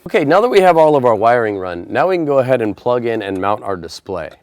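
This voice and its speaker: A man's voice: medium pitch, very animated, fast. A man presenting a how-to installation tutorial, explaining each step in a plain, methodical way. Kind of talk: step-by-step instructional narration.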